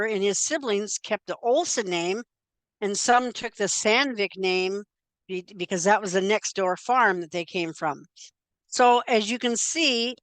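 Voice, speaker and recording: An older woman leads a relaxed genealogy talk, chatting along with plenty of little fillers. Her voice is smooth and clear. The sound is dry and close, with no room around it. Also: medium pitch, no room sound. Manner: conversational and chatty, with frequent fillers. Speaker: genealogy presenter. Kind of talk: informal slide presentation.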